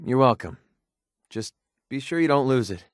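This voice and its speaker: A guarded young male mercenary from a video game, acted by a man, with a mid-pitched voice in a very dry recording. He is sarcastic and quick to bristle, yet loyal underneath. His delivery is naturalistic and plain-spoken, with an earnest, wounded edge. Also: expressive, clear, resonant tone, no room sound, lightly acted. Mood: sincere, grateful. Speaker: companion character in a video game.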